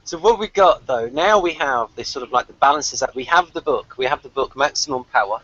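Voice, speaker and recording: A man's voice: medium pitch, expressive, brisk, fairly bright tone, dry recording. A man hosting a casual review discussion on a video call, rambling and thinking aloud as he goes. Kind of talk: casual unscripted chat. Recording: dull lo-fi video call audio.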